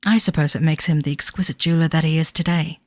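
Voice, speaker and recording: Woman doing a young bride to be from the 30s reading her diary, kinda low voice, proper and wistful, bit uneasy, very dry recording. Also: steady tone, darkish tone, no echo, close to an everyday voice. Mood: fond. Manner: formal, wistful, measured period diction. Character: young bride-to-be in 1930s, devoted but uneasy. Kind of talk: audio drama diary reading.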